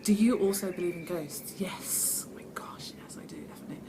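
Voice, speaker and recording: A woman's voice: lowish voice, fairly quick, whispered. A woman actress giving casual, chatty interview answers in a self-deprecating way, reading the questions out herself. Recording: steady background noise.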